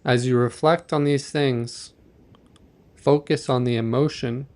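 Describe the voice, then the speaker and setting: A man leading a guided meditation in a gentle, measured, softly instructive manner. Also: mid-range voice, steady tone.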